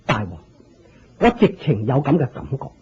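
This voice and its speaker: An actor with a Hong Kong Cantonese accent playing an earnest, reverent elderly religious master turned disciple in a Buddhist radio drama, speaking in a solemn, deliberate, declamatory way. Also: male voice, mid-range voice, sing-song, a little mellow, breathy edge. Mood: fervent, reverent. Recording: dull lo-fi radio drama recording.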